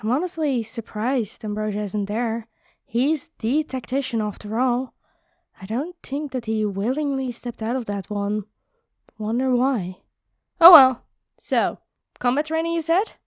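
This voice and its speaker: A woman's voice: on the high side, measured pace, no echo, studio quality, close to an everyday voice. A woman playing a cheeky, playful warrior lady in a fantasy audio roleplay, talking in a casual, teasing, chatty way. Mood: chatty, musing.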